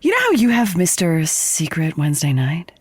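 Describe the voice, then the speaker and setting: A woman's voice: lowish voice, huge pitch swings, moderate pace, slightly rough, no room sound, full character voice. An actress playing an upbeat, chummy woman confiding eagerly in a friend in a scripted audio comedy. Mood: friendly, eager.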